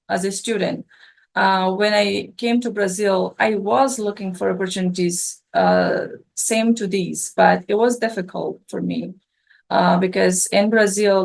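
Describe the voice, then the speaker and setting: A young woman from Afghanistan answers an interviewer's questions at a slow, careful pace. She restarts her sentences often and fills the gaps with ums and uhs. Her voice sits at an ordinary pitch. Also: creaky. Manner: hesitant, many fillers and restarts. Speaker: scholarship student guest.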